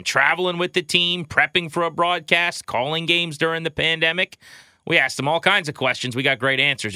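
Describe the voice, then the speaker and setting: A man hosting a sports podcast, talking baseball in an energetic show style. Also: on the high side, no echo, studio quality.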